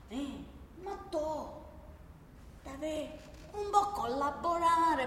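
A woman's voice: high voice, sharp tone, slight background noise, full character voice. A woman playing a bullying, mock-polite male Sicilian mafia boss in a satirical radio drama, menacing and wheedling, with frequent questioning interjections. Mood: mock-polite menace. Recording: echoey hall, distant mic, faint music.

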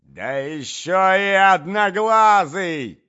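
A man voicing a domineering, desperate provincial matron in a storybook reading, venomous and loudly exclamatory. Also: high-pitched, sing-song, slow, lo-fi sound, pitched above the performer's own voice, full character voice. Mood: furious despair.

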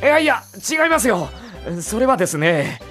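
A woman's voice: mid-range voice, very animated, normal speed, a bit bright, soft background music. A woman playing an earnest teenage boy, evasive and easily flustered, in an anime audio drama.

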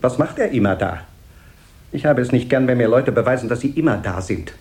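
Radio play boss, played by a man: medium pitch, self-important, nagging, curt, sarcastic. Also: expressive, brisk, darkish tone, natural voice. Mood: pompous, disdainful. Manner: pompous, curt, sarcastic, repeating words for emphasis. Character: peevish, self-important, nagging male company director. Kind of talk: 1970s German radio play acting.

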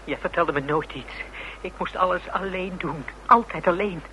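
An actor playing an anguished, cornered younger brother in a radio play, agitated, defensive and halting. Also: male voice, sits high, sharp tone. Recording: noisy old radio drama recording.

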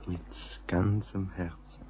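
Very deep-voiced courteous gentleman writer. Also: level tone, a little hiss or hum. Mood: gentle, solemn. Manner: measured, formal, earnest. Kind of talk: German radio play acting.

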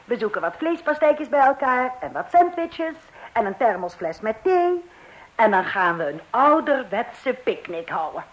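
A woman's voice: fairly high pitch, very animated, measured pace, a little hiss or hum. A woman playing a dry, sarcastic wife. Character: dry, sceptical wife Jean. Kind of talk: radio play acting. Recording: dull old radio recording.

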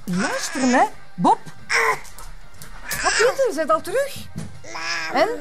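A woman's voice: very high-pitched, wildly swooping pitch, slow pace, over music, heavily acted. An actress playing a busy mother of small children, coaxing and chiding with a Flemish accent in naturalistic television drama dialogue. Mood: coaxing, harried.

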